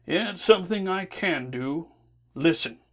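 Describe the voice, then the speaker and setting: Lady doing a big stern sky god dad, low and slow, solemn storybook voice. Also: lively intonation. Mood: solemn decree. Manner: slow, solemn, booming delivery. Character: stern, angry old god of light, a commanding father.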